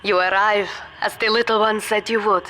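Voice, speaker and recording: A woman's voice: medium pitch, steady tone, moderate pace, noisy background, natural voice. A woman playing a guarded, worldly scientist ally in a video game, with a German accent and a grave, matter-of-fact manner. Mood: guarded, grim. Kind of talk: video game character dialogue.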